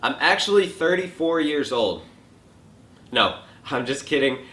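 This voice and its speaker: A twenty-year-old man hosting a casual question and answer vlog from a home kitchen, relaxed and joking, with lots of filler likes. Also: medium pitch, a little slow. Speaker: fitness vlogger answering viewer questions. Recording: echoey room.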